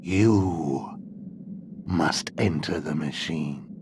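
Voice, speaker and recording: Pompous, slow-spoken, haughty man. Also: a bass voice, background noise, full character voice. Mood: disdainful, grave. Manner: pompous, archaic formal diction. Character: haughty, contemptuous male schemer, coldly menacing. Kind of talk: video game cutscene acting.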